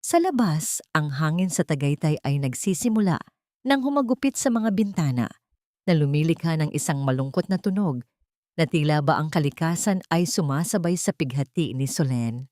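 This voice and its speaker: Lowish female dramatic audiobook narrator. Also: animated, moderate pace, a little mellow, dry recording, clean studio sound.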